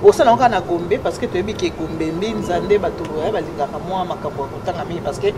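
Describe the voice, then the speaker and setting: A woman's voice: on the low side, brisk. A woman interviewer asking brief, teasing questions in French and Lingala. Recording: loud street noise with passing vehicles. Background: traffic and street noise.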